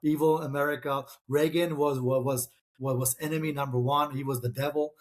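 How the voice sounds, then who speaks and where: A middle-aged man originally from Czechoslovakia, whose first language is Czech, answering interview questions. His voice sits at an ordinary middle pitch. He stumbles and restarts often, padding his sentences with fillers. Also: steady tone, a little fast. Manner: hesitant, many restarts and fillers. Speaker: author and guest. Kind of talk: conversational interview.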